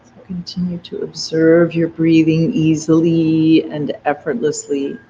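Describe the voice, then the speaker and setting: A meditation guide leading a guided breathing meditation in a soft, gentle, unhurried way. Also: mid-range voice, completely flat delivery, a little hiss or hum. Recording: dull lo-fi recording with faint ambience.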